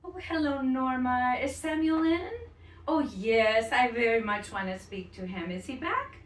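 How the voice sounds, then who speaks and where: Actress plays an anxious, doting grandmother: lively pitch, echoey distant stage sound. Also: unhurried. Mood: delighted. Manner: anxious, exclaiming, repeats herself.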